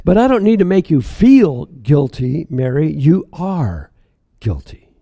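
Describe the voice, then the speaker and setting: A man's voice: on the high side, very animated, a little slow, mellow, no room sound, clean studio sound, heavily acted. A man playing a compassionate male stranger who questions earnestly and with emphasis. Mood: stern.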